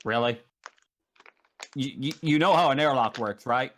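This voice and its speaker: A man acting as game master, improvising narration and table talk in a halting way, repeating words as he thinks aloud. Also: medium pitch, a bit bright, a little hiss or hum.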